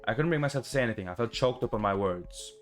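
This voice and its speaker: A man's voice: medium pitch, animated, fast, soft background music, natural voice. A man reading as the shy, tired young narrator of a romantic visual novel. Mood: tender, flustered. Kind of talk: reading story text aloud.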